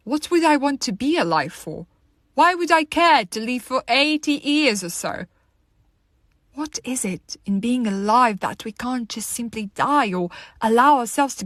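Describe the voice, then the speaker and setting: A woman playing a troubled, lonely young woman in an audio monologue, halting, wry and conversational as she muses bitterly. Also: on the low side, no echo, clean studio sound. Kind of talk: dramatic monologue, audio drama.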